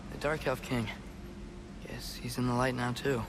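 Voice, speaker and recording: An actor playing an eager, curious young son with quick remarks in a video game. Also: male voice, mid-range voice, little pitch movement, very noisy, close to an everyday voice. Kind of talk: video game cutscene dialogue. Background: game music.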